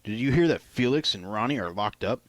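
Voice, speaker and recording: A man's voice: on the low side, animated, fairly quick, no room sound, lightly acted, poor audio. A man voicing a blunt, slangy, profane young skater buddy in an audiobook story. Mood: blunt, dismissive.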